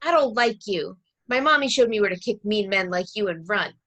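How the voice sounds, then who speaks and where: A woman's voice: mid-range voice, lively intonation, brisk, fairly bright tone. A woman playing a feisty, defiant little girl with a blunt manner in a table read of a film script.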